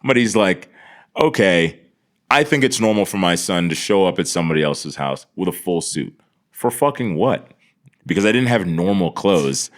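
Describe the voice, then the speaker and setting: A man's voice: low voice, animated, normal speed. A man from the United States, a podcast guest telling casual comedic stories with profane, slangy exaggeration.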